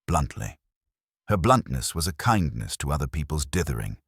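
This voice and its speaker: A man voicing an apologetic, very deep-voiced village vicar. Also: sing-song, moderate pace, fairly bright tone, husky, dry recording, clean studio sound, full character voice. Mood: rueful. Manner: wordy, mild, self-deprecating. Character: anxious, apologetic, gently evasive male village vicar.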